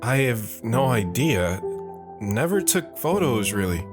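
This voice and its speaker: A man's voice: fairly low pitch, very animated, slow pace, over music. An actor playing an awkward, apologetic teenage boy. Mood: unsure. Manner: apologetic, unsure.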